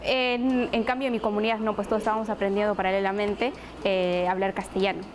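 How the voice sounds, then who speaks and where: A woman's voice: mid-range voice, flat delivery, a little fast, a little hiss or hum, low-quality recording. A woman presenter from Apurímac, Peru, whose first language is Quechua, telling her own story earnestly in an interview. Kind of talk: personal interview testimony.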